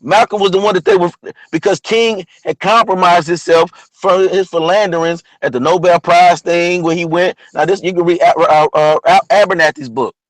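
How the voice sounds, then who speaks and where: A man with a partly lost, hoarse voice, talking casually about history as host of an online show, often asking "you know what I'm saying?". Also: high voice, fairly even intonation, normal speed, no echo, low-quality recording. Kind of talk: casual history talk monologue.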